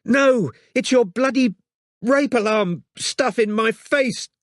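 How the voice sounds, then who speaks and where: A man's voice: very high-pitched, takes it slowly, no echo, clean studio sound, pitched above the performer's own voice. A man voicing an injured young woman who gasps and pleads. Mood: shaken gasping. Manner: breathless, gasping.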